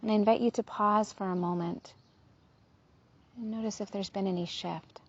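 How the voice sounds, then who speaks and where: Soft, husky woman guiding meditation. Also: medium pitch, fairly even intonation, dull audio. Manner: soothing, gentle, unhurried with soft trailing phrases. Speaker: meditation guide. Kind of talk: guided body scan meditation.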